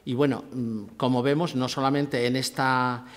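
A man in his sixties giving an academic lecture from a table at a conference, measured and often restarting his phrases. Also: mid-range voice, flat delivery. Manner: measured, with frequent restarts and fillers. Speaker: conference speaker. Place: lecture hall, seated at a table with a laptop. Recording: long hall reverb.

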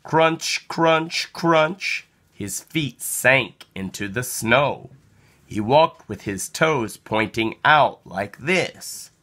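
An expressive reader tells a children's picture book aloud. The delivery is unhurried and theatrical, with a lively rise and fall in pitch. The tone is bright and clear-cut, at a middle pitch. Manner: animated, slow, dramatic delivery with acted sound words. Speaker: storybook reader.

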